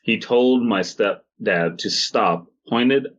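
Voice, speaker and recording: Low-voiced, husky man, casual podcast host. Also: slow pace, lo-fi sound. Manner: sweary, jokey. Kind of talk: reading stories aloud with casual, joking banter.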